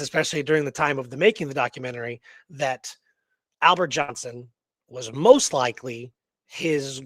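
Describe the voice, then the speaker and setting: A man with a slightly higher-than-average voice talks as a radio show host. He tells a historical case informally, with slangy wording and repeated emphasis. The sound is dry, with little room echo. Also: fairly bright tone. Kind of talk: casual talk retelling a historical case.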